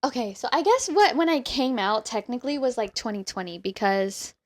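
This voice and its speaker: A woman's voice: fairly high pitch, lively intonation, fairly quick, no echo. A young woman co-hosting a podcast, agreeable and slangy in casual chat. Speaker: podcast co-host.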